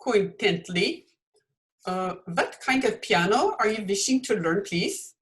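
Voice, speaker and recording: A woman playing a polite foreign piano teacher who speaks halting broken English in a radio comedy. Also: mid-range voice, expressive, fairly bright tone. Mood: polite, eager. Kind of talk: old-time radio comedy acting.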